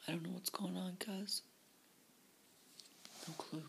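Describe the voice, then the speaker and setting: A woman whispering into her camera for a personal video diary, halting and repeating her words. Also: fairly low pitch, fairly even intonation, vocal fry, noisy background. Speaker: vlogger. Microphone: camera facing her.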